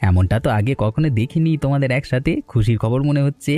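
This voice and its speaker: Man voicing a jovial, fast-talking butcher. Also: medium pitch, animated, dark tone, faint music behind, natural voice. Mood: jovial, teasing. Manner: chatty, teasing, with tag "হ্যাঁ?". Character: jovial middle-aged male village butcher. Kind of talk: cartoon voice acting.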